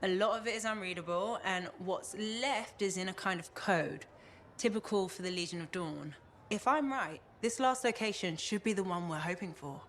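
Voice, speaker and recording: A woman playing a studious, supportive scholar in a video game, explaining in a measured way. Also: medium pitch, slight background noise, close to an everyday voice. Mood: focused, supportive. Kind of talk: video game cutscene acting.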